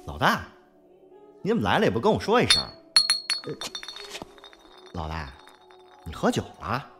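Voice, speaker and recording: A man's voice: medium pitch, wildly swooping pitch, normal speed, over music. A man playing a loyal, anxious underling of a boss in an audio drama, deferential and fretful. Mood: worried. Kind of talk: audio drama acting in Mandarin.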